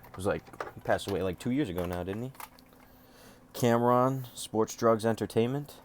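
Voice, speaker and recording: Low-voiced man rambling casually. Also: normal speed, a little hiss or hum. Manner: rambling, profane, offhand. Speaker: music collector showing off cassette tapes. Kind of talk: casual rambling collection showcase.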